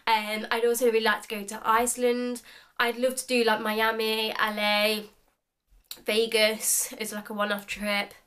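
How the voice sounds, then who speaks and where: A woman's voice: on the high side, monotone, a little slow, a bit bright. A woman in her twenties chatting casually in a vlog, upbeat and full of "like" fillers. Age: 20s. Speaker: beauty vlogger.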